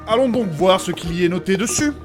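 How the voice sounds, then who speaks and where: A man's voice: high-pitched, expressive, fairly quick, music in the background. A man playing a formal, courteous, earnest and moralizing companion in a comedic fantasy audio drama. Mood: calm, courteous.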